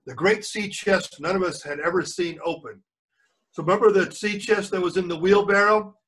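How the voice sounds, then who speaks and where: A man's voice: high-pitched, moderate pace, a bit bright, a little hiss or hum, low-quality recording. An older man reading a storybook aloud to children as a grandfather would, stumbling over words and correcting himself, with asides to his listeners.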